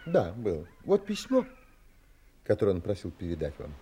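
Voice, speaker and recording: An actor playing an earnest, determined young gentleman in a dramatised audiobook, with expressive, literary delivery. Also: low voice, normal speed, a little hiss or hum. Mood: polite, formal. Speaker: actor narrating and playing the lead.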